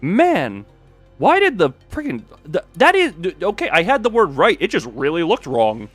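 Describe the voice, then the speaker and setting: Very animated high-voiced guy livestreaming a game. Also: normal speed, slightly rough, dry recording. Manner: excitable, sweary, giggly. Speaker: livestreamer playing and reading a story game. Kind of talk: livestream game commentary with reading aloud. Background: music.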